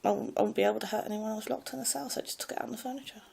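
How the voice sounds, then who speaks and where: Posh english lady, gravelly fry in the voice, loud, rambly, lots of ums. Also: a little high, fairly even intonation, rapid-fire. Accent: posh English public school with a slight American Ivy League clip. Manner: hesitant, many fillers and restarts. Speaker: vlogger telling her life story. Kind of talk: rambling personal monologue.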